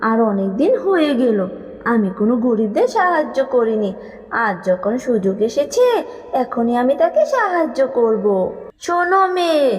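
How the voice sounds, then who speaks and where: A woman's voice: on the high side, sing-song, moderate pace, darkish tone, voice pushed down. A woman voicing a kindly, remorseful talking tree in a cartoon, soothing and coaxing. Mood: kindly, promising. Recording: long reverb with music underneath.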